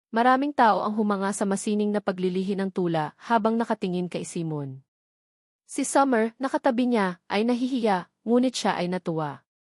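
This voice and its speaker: A woman's voice: medium pitch, darkish tone, no echo. Filipino woman narrating a novel, dry close sound. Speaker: audiobook narrator.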